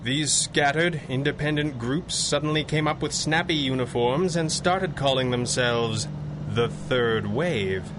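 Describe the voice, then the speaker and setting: An actor playing a resolute, articulate playboy crime fighter in a 1930s-style radio drama, measured and wry. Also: male voice, medium pitch, background noise, close to an everyday voice. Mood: measured briefing. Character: suave, resolute masked hero, wry billionaire playboy.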